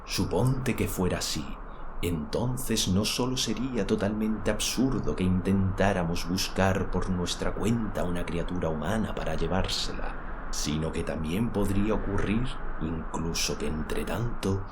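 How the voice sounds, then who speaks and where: A man's voice: sits low, measured pace, background noise. A man voicing a wise, cautious dragon who reasons and warns emphatically in a dramatised audiobook. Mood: grave reasoning. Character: wise, cautious male dragon, easily alarmed companion.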